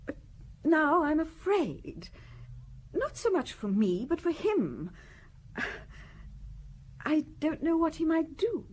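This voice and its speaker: Haughty wife, lively high-swinging voice. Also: female voice, takes it slowly, long pauses, exaggerated voice. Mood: anxious, insinuating. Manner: formal, haughty, pointed delivery. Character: cold, aggrieved upper-class wife, direct and insinuating. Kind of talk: audio drama acting.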